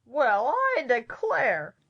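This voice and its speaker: Sassy yellow hen for a children's tale: high, lively, defiant and huffy, slightly dull recording. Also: female voice, slow, full character voice. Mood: indignant. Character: proud, sassy, indignant female yellow hen.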